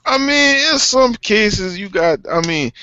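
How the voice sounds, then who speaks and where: A man's voice: high voice, lively intonation, slow pace, bright, forceful tone, a little hiss or hum. Man in a heated, rambling debate. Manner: rambling, profane, repeats "you know what I'm saying". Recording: muffled lo-fi audio.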